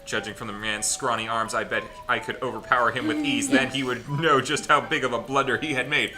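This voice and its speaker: A man's voice: medium pitch, steady tone, brisk, pushed and bright. A man hosting a group let's play, reading a visual novel aloud theatrically and breaking off for casual joking asides. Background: game music.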